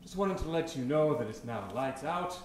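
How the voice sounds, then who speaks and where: A man's voice: on the high side, lively intonation, normal speed, slight background noise, lightly acted. Man acting a pompous villainous warden, echoey room. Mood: smugly official. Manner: pompous, theatrical. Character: pompous villainous prison warden with twisted ideas of justice. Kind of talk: melodramatic old-time radio acting. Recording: very echoey room, faint music.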